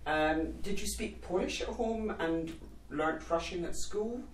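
A woman interviewer asking tentative, soft follow-up questions. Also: lowish voice, a little slow, a crowd in the background. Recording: echoey room.

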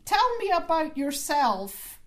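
A woman interviewer putting polite, measured questions to her guest. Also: high-pitched, very animated.

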